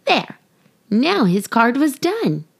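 Female children's storyteller and host. Very animated intonation, unhurried delivery, speaks directly to young kids. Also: high-pitched, vocal fry, breathy edge. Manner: addresses young children directly, expressive and deliberate. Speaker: podcast host reading a children's picture book.

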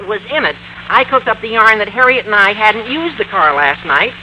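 An actor playing an excitable, scheming high school boy with a squawky adolescent voice in an old-time radio comedy. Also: highs cut off. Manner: gushing, stammering, eager. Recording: faintly noisy vintage radio recording.

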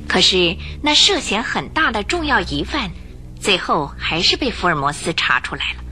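A woman tells a radio detective story in a bright, forceful voice. Her pitch swings widely as she dramatizes the plot, and faint music sits underneath her. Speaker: narrator. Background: music bed.

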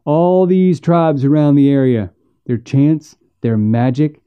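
A bossy, irritable backwoods man, voiced by a male narrator, folksy and grunting. He pitches up above plain narration in storybook style, and the recording is a little dull. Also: lively intonation, takes it slowly, clean tone. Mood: uneasy, insistent. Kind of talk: audiobook character voice.

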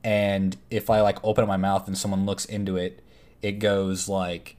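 A man's voice: sits low, flat delivery, normal speed, a little mellow. A young man from Texas with a slight Texas twang, a podcast co-host in rambling casual chat. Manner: chatty, rambling, looks things up mid-talk.

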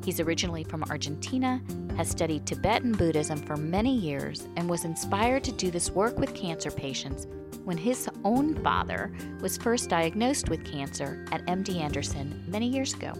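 A woman's voice: fairly low pitch, animated, normal speed, over music. A woman hosting a podcast, introducing it and interviewing.

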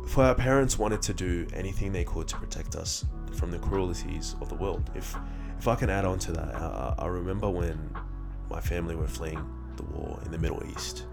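A man's voice: low-pitched, a little fast, gravelly, hardly any pauses, over music. A young man from Iraq who moved to Australia as a child, talking casually and candidly in a reflective personal monologue with slang and swearing. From born in Iraq, moved to Australia as a child. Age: young adult.

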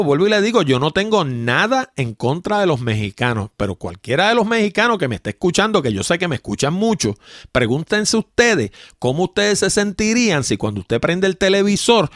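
A Puerto Rican man hosts a solo commentary show, his voice sitting a little high and swinging widely up and down as he makes his points. He speaks right to the listener and keeps asking rhetorical questions. The recording is close and dry, with no room sound. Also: no room sound. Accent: Puerto Rican Spanish. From Puerto Rico. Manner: animated, talks straight to listeners, rhetorical questions. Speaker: podcast host.

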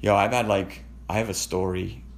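Man from canada, low-pitched, swearing, rambling, intense personal storytelling. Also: fairly even intonation, normal speed, a little hiss or hum. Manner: profane, rambling, emotionally intense. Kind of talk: casual conversation, personal storytelling.

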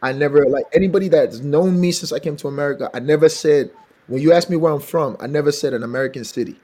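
A man from Benin, West Africa, a singer with a raspy voice, telling stories in an animated way in a casual interview. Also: a little high, quick pace. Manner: animated storytelling, laughs often. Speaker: singer and songwriter guest.

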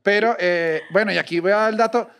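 A man from Venezuela with a high voice and a gravelly edge, talking in a relaxed podcast chat. He tells stories with plenty of energy. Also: fast talker. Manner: animated storyteller, acts out quotes. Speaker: podcast co-host. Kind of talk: casual chat.

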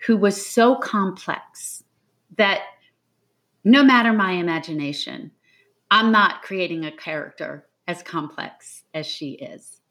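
A woman with a slightly low-pitched voice speaks as a guest author in a reflective interview. She is earnest and emphatic, shaping her thoughts like a storyteller. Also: slow, fairly bright tone. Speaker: novelist being interviewed.

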